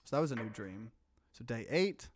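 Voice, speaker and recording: A man's voice: mid-range voice, expressive, normal speed, breathy edge, no room sound. A man doing a let's play, reading visual novel narration aloud and dropping in casual, offhand commentary. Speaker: streamer, let's player.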